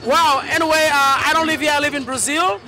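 Enthusiastic Cameroonian man, very high pitch, casual vox pop over festival music. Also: steady tone, fairly quick. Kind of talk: casual interview chat. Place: outdoor festival seating. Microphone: handheld interview microphone.

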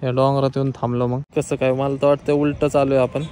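A young man, talking in a casual, joking, excited way as he vlogs outdoors into a handheld camera. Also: mid-range voice, quick pace. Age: 20s. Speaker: vlogger. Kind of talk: casual travel vlog. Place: outdoors on a mountain road. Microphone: handheld camera at arm's length. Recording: outdoor recording with loud traffic.

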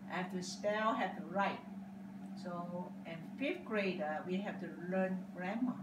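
An older woman whose first language is Cantonese, answering interview questions at home in slow, halting English with simple grammar. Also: on the low side. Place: dining room at home, indoors. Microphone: far camera across the room. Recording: echoey room, distant mic, loud background hiss.

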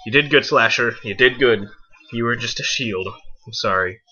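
A young man giving casual, excitable let's play commentary, exclaiming and talking to the game and himself. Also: medium pitch, moderate pace. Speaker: gaming video host. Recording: muffled lo-fi mic, game music behind. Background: video game music.

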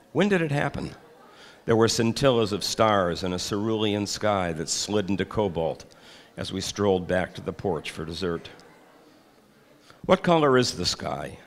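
An older man reading his own essay aloud to an audience in a large hall, deliberate and measured, with occasional hesitant asides. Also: lowish voice. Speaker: author giving a reading. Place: large hall with high ceiling, seated before a projection screen.

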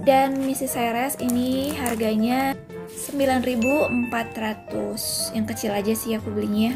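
Chatty woman, slightly high voice. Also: fairly even intonation. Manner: chatty, pauses to recall prices. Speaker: vlogger. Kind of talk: casual grocery haul voice-over. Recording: voice-over with clear background music.

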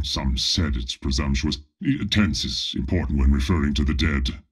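A very deep, husky space tyrant. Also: male voice, full character voice. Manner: slow, imperious, pompous, with deadpan comic asides. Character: pompous, menacing armored dark space lord with robotic body. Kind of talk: video game character voice lines.

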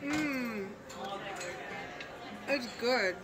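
A woman's voice: on the high side, very slow, low-quality recording. A woman dining with friends, making short playful remarks at the table. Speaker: dining companion. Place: busy restaurant indoors. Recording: restaurant crowd noise, off mic.

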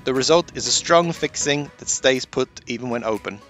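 A man giving a steady, explanatory voice-over for a van life how-to video. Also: mid-range voice, normal speed, soft background music. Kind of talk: scripted voice-over narration. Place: based in Sweden.

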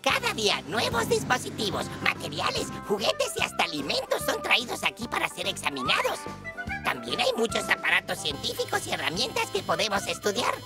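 A small, fussy old teacher figure from a kids' cartoon. The voice climbs and swoops with a lot of life. Loud bright music runs underneath. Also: female voice, fairly high pitch, huge pitch swings, fairly quick, creaky, full character voice. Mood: explaining. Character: kindly old male tiny robot teacher. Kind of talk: cartoon dub.

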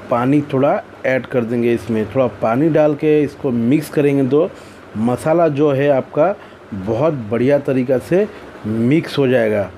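An Indian-accented man hosts a step-by-step cooking tutorial in a soft, mellow tone. His delivery is plain and instructional, circling back to the same phrases. Noticeable background noise sits behind his voice. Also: mid-range voice. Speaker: cooking channel host. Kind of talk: recipe tutorial narration.

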